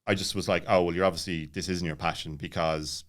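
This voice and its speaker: A man's voice: sits low, steady tone, brisk, fairly bright tone. A man in his late thirties telling personal stories casually as a podcast guest, rambling with many false starts. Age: late 30s.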